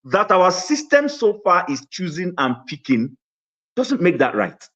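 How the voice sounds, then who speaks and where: A man on a debate panel, breaking in politely but firmly. His voice sits fairly high and moves a lot in pitch. The sound is muffled and lo-fi. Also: sing-song, unhurried, fairly bright tone. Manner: polite but insistent interjections. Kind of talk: heated debate. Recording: dull lo-fi call audio.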